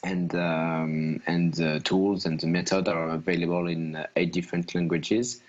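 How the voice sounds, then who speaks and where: Very low-voiced man, halting explanation. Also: level tone, dull audio. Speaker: company co-founder, panelist. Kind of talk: informal explanatory panel talk.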